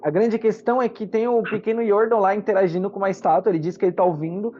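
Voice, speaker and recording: A man's voice: high voice, fairly even intonation, fast talker, mellow, lo-fi sound. A man with a Brazilian accent narrating a tabletop fantasy game as game master, in an explanatory storytelling manner. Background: background music.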